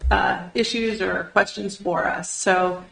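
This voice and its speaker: A woman's voice: medium pitch, fairly even intonation, takes it slowly, vocal fry, fairly bright tone. A woman, a state land use planner, gives an organized presentation with "uh" fillers to county commissioners at a public meeting. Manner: organized, explanatory, with frequent "uh" fillers. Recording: roomy public meeting recording.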